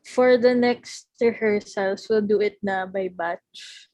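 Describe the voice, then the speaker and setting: A Filipino-accented woman talks slowly in an online meeting, with polite, halting phrasing. She mixes English and Tagalog, and the sound is dry with little room in it. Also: medium pitch, steady tone, a little mellow, dry recording, rough recording. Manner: hesitant, polite, code-switching English and Tagalog. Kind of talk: casual online meeting talk. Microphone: video call.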